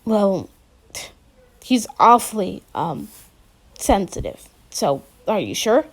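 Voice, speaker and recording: A woman's voice: medium pitch, expressive, slow, creaky, full character voice. A woman voicing an awkward teenage boy who puts on a hammy announcer voice, then turns meek and unsure. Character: awkward teenage boy doing a pompous radio host bit.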